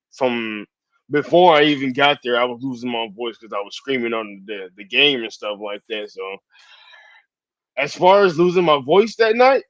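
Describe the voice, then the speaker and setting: A man chatting as a podcast guest, laid-back and repeating himself, with a hoarse edge. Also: on the high side, fairly bright tone, dry recording. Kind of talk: casual chat. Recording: dry, dull lo-fi sound.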